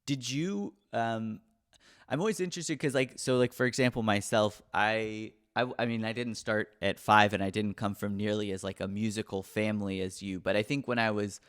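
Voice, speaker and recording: A man's voice: on the low side, moderate pace, a bit bright, smooth. A man hosting a podcast, asking long, rambling questions full of fillers. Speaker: podcast host. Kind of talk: casual interview.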